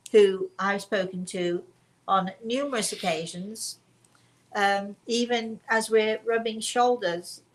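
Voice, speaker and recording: A woman's voice: mid-range voice, low-quality recording. A woman hosting a spiritual show, chatting casually and emphatically with a guest and viewers, often repeating herself. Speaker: host of a spiritual story and question show.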